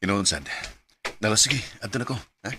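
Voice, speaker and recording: An actor playing a married man who is a smooth, secretive seducer, coaxing in a Cebuano Filipino accent in a radio drama. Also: lowish voice, animated, moderate pace, sharp tone, slight background noise. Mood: casual, caring. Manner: suggestive, coaxing. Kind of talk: radio drama acting in Bisaya.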